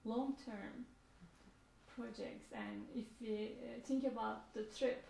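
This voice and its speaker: Distant gravelly-voiced woman interviewer. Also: fairly high pitch, sing-song, takes it slowly. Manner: soft, hesitant questions with frequent backchannels. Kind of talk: interview questions. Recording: roomy, distant from mic, faint noise.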